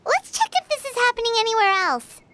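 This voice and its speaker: A woman voicing a small, childlike, excitable and curious fairy companion in a video game, with a squeaky voice. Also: brisk. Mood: puzzled. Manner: exclamatory, speaks of self in third person.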